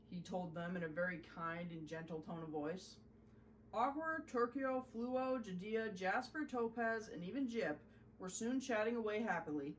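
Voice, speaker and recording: A woman narrating a children's storybook in an expressive, deliberate storytelling manner. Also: mid-range voice, far from the mic, slight background noise, low-quality recording. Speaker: host reading a children's story.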